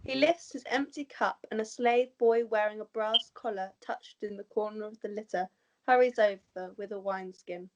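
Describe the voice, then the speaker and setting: A woman's voice: mid-range voice, fairly even intonation, moderate pace, darkish tone, low-quality recording. A woman with a mid-range voice reads out stage directions. It is scripted narration for an amateur table read of a drama.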